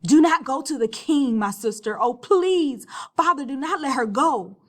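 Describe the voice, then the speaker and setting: A woman's voice: high voice, expressive, measured pace, voice pushed up. A woman voicing a hysterical, frightened young woman in a storybook read-aloud, crying out and pleading. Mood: frantic pleading.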